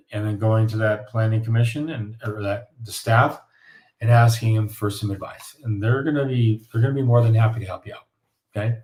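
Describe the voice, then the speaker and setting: A man with a raspy voice from the flu, hosting a live question-and-answer stream and giving casual, folksy advice. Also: lowish voice, mellow. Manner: folksy, repetitive coaching tone. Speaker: host of live Q&A on home building.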